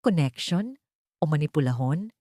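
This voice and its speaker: A woman's voice: low-pitched, lively intonation, moderate pace, warm tone, no room sound. Bitter old matriarch, acted by a female narrator, accusatory and scheming, audiobook drama, dry close sound. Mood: scornful, accusing. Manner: insinuating, accusatory.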